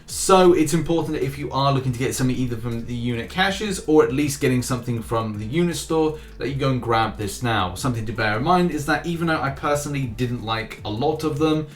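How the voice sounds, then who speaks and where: A man's voice: medium pitch, fairly quick, hardly any pauses. A man hosting a casual gaming news roundup, chatty, often restarting phrases and reading out lists of scores and rankings.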